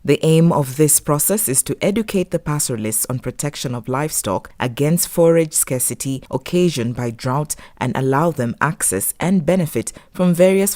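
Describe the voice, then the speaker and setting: A woman's voice: sits low, warm tone, dry recording, clean studio sound. A woman reading scripted documentary narration.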